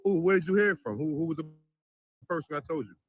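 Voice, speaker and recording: A man doing a casual street interview in a park, asking quick, friendly questions. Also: a little high, no echo, dull audio, soft background music. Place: park, outdoors.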